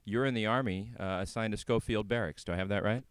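A man's voice: on the low side, fast, smooth, no echo. A man hosting a radio show, interviewing guests and presenting links between segments.

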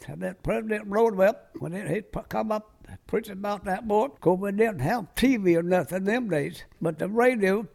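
A man's voice: sits high, vocal fry, a little mellow. A very old American man, a war veteran in his nineties, reminiscing in a rambling, folksy way with clipped, loose sentences. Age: very old, 90s. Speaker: war veteran being interviewed. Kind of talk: oral-history reminiscence.

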